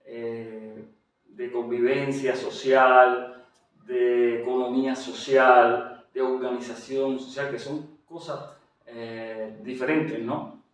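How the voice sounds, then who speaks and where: A man with a Cuban Spanish accent, heard from a little way off the mic in a very echoey room. He talks at a mid pitch, hesitating often and restarting his sentences as he shares his story. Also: level tone, slow, distant mic. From Cuba. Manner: hesitant, with frequent fillers and restarts. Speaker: interview guest, general doctor. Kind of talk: testimony interview.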